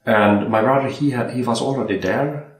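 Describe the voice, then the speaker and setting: A young Swedish man who works as a watchmaker, chatting in a relaxed, hesitant way and often repeating words as he recalls his training and jobs. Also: medium pitch, normal speed. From Sweden. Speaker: watchmaker guest. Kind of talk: casual interview chat. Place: room in a home. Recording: roomy home interview.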